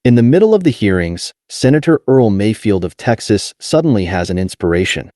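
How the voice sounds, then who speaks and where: A man narrating a nonfiction audiobook in a measured, clearly articulated reading. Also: fairly low pitch, big pitch swings, dark tone, no echo, clean studio sound.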